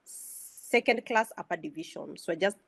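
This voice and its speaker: A woman's voice: fairly low pitch, moderate pace, creaky, a bit bright, breathy edge, no echo. Kenyan woman telling her story. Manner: chatty, with many filler sounds and restarts. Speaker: guest.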